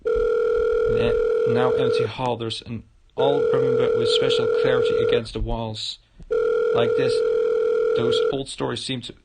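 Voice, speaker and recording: A man livestreaming a visual novel, reading the narration aloud in a halting way with stumbles and repeated words. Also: medium pitch, heavy background noise. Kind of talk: live narration of game text. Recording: loud music behind the voice. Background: game music.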